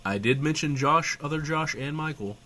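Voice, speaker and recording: An actor playing a sarcastic, hedging, foul-mouthed small-town radio host in a comedic audio drama, trading banter. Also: male voice, a little high, moderate pace, bright, forceful tone, slight background noise. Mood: deadpan. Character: irreverent, flustered small-town radio host, adult man.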